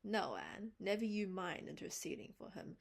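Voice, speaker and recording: A woman's voice: mid-range voice, very animated, moderate pace, vocal fry, breathy edge, exaggerated voice. A woman reading aloud, voicing a strict older woman who scolds firmly. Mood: scolding. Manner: stern, scolding, firm.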